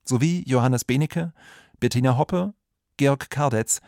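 A man's voice: medium pitch, a little slow, a little mellow, dry recording, clean studio sound. A man making a scripted radio announcement of credits.

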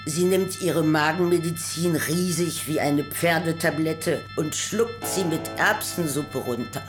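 A woman's voice: low voice, a little slow, over music. A woman narrating a poetic radio play in a scripted literary style.

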